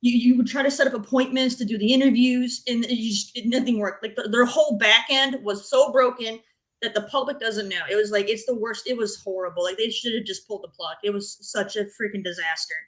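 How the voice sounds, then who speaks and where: A woman's voice: a little high, fairly even intonation, a little fast, fairly bright tone, low-quality recording. A woman speaking informally as a panelist on a gaming talk show, giving opinions in a blunt, emphatic way.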